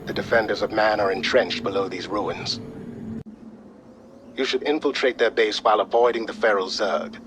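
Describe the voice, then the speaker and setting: A man's voice: lowish voice, background noise, natural voice. A man playing a precise, unsentimental scientist advisor in a video game, speaking formally and matter-of-factly. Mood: businesslike. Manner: formal, measured, matter-of-fact. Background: music.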